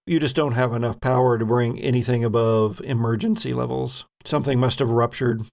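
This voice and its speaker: Creaky-voiced man running a tabletop game. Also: mid-range voice, steady tone, a little fast, darkish tone. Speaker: game master. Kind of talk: improvised narration of a tabletop game.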